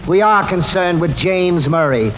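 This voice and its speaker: An actor playing a scornful, theatrical prosecutor who declaims with sarcastic rhetoric in old-time radio style. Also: male voice, sits high, measured pace, a bit bright, lo-fi sound. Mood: scornful, triumphant. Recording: noisy old radio recording.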